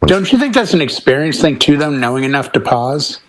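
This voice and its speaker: A man's voice: on the high side, sing-song, brisk, no room sound. A man chatting casually and jokily as a DJ on a panel. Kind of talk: casual, joking chat.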